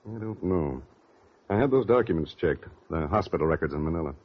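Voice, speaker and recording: An actor playing a cautious, placating family lawyer, measured and doubtful, in a 1940s radio mystery. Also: male voice, sits low, lively intonation, breathy edge. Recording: muffled vintage radio recording.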